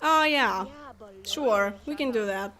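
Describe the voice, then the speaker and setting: A young woman with a slightly high voice, chatting through a live game stream. She laughs often and talks to the characters on screen as she plays. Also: lively intonation, slow, pushed and bright. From Poland. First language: Polish. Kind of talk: casual live game commentary.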